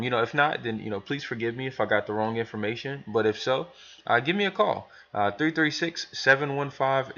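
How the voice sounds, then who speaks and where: A man giving a casual, rambling software tutorial for new hires, thinking aloud as he talks through each step. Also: on the low side, muffled recording. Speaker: business trainer demonstrating software to new hires. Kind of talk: casual screen tutorial, talking through steps.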